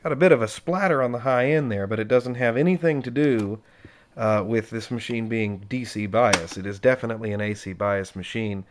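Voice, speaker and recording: A man's voice: on the low side, fairly quick, background noise. Chatty man demonstrating gear. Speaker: presenter demonstrating equipment. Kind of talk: casual unscripted product demonstration. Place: indoors at home, close-up tabletop demonstration.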